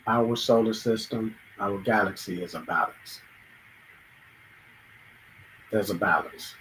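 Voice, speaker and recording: A man's voice: medium pitch, a little mellow, slight background noise, poor audio. A man hosting a reflective solo monologue, thinking aloud and acting out little everyday dialogues. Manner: thoughtful, acts out everyday dialogues, sometimes restarts. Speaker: show host.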